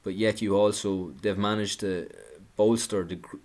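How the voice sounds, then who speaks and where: Man, deep voice, sarcastic commentator delivering an indignant monologue with stumbles and restarts. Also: little pitch movement, normal speed, a little mellow. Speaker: political commentator.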